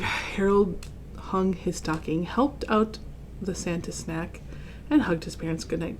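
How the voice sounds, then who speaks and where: A woman reading a children's picture book aloud in an expressive way, pausing to explain and react to the pictures. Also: on the low side, slight background noise.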